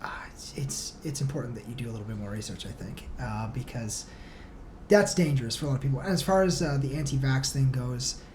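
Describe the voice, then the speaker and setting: A man's voice: mid-range voice, darkish tone. Earnest man in noisy casual interview. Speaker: podcast guest, musician. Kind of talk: casual conversational interview talk.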